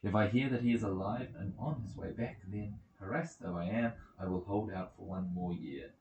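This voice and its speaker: A man's voice: lowish voice, normal speed, darkish tone, lo-fi sound, a little hiss or hum, lightly acted. A man voicing a troubled young prince in an epic audiobook, speaking with solemn, formal earnestness. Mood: resolute. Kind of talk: dramatic audiobook character reading. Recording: roomy echoing home recording.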